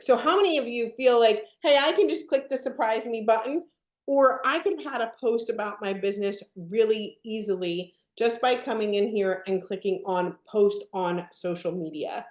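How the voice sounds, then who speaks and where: A woman presenting a software demo on a live video call, upbeat and often asking her audience questions. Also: mid-range voice, normal speed, roomy sound. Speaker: product presenter. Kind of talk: live webinar product demo.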